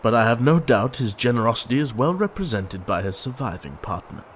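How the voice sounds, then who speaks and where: Guy playing a polite earnest gentleman in an audio play, expressive formal delivery, some ambience behind. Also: medium pitch, brisk, a little hiss or hum, lightly acted. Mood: courteous. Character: courteous, earnest gentleman collecting for charity.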